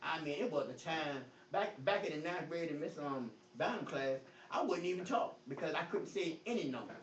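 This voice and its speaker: A man with a high voice preaches at a Bible study, his volume swinging from loud bursts to quiet. His comes through with a stutter. The phone sits far from him in an echoey room, so the sound is dull and faint, with a little hiss. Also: expressive, moderate pace. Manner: preachy, emphatic, rises and drops in volume; says he stutters. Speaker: pastor. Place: church hall. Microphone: phone across the room. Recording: echoey, distant, lo-fi phone recording.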